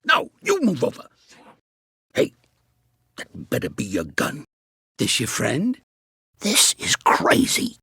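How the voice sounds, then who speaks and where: Raspy, gravelly older American mobster. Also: male voice, medium pitch, wildly swooping pitch, slow, pauses often, sharp tone, no echo, studio quality, heavily acted. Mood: irritated, alarmed. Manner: blunt, slangy, commanding. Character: gruff, streetwise older mobster mentoring a young fighter. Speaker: voice actor. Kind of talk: video game character acting.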